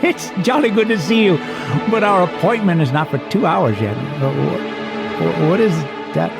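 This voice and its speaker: A man playing an excitable, fussy, middle-aged bird scholar in a radio play, muttering and exclaiming theatrically. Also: high voice, moderate pace, creaky, over loud music. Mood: startled, flustered. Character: bespectacled tweedy fifty-year-old bird scholar, excitable and fussy.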